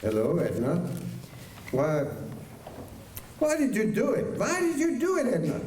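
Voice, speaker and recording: Man acting bitter old widower, high swooping voice. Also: wildly swooping pitch, measured pace, darkish tone, heavily acted. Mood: plaintive. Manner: insistent, repeats himself, plaintive. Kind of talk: staged radio western drama. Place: high school auditorium stage. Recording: echoey auditorium, distant mic, faint noise.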